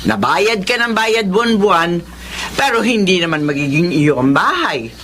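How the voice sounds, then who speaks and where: An actress playing an older, warm-hearted mother of a grown son in a Filipino radio drama. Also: lowish voice, lively intonation, moderate pace, slight background noise. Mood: pleased, reflective.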